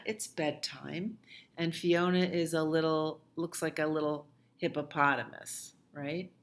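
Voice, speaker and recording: Slow, low-pitched woman reading bedtime stories. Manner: gentle sing-song delivery for young children. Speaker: children's librarian and storytime host. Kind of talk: children's storytime with read-aloud and nursery rhymes.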